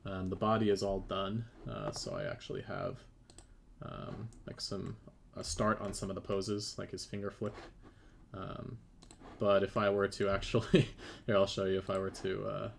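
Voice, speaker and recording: A man with a low, creaky voice talks casually as he demonstrates his work on a live stream. He thinks aloud in a halting way. There is faint noise with keyboard typing and clicks behind him. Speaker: game developer and 3D modeller presenting.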